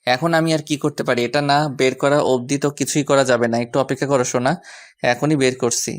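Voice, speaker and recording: A man's voice: medium pitch, fairly even intonation, fairly quick, natural voice. A man playing a doting young lover who coaxes and teases affectionately in a romantic audio story. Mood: soothing. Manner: coaxing, affectionate, apologetic. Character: doting, teasing young man soothing his sweetheart.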